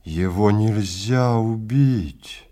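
A man's voice: lowish voice, extremely slow, dark tone. A man voicing a panicked, stunned hunter who exclaims and pleads in a science fiction audio play. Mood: awestruck, hushed. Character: panicked male hunter client, stunned and faltering.

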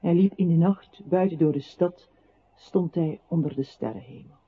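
A grave narrator of a lonely downfall in a radio play. The voice is husky and fairly high for a man, with measured literary phrasing. The recording is narrow and phone-like.

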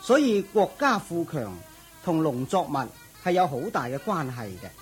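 A man's voice: a little high, big pitch swings, normal speed, soft background music. A man playing a dutiful royal prime minister in a Cantonese audio drama, deferential to his master and dismissive of commoners. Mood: explaining.

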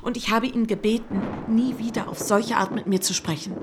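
Woman playing a timid, high-voiced young niece. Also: fairly even intonation, noisy background, lightly acted. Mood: upset, resolute. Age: young adult. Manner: halting, meek, earnest. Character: timid, distressed but steadfast young niece. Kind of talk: German radio drama acting.

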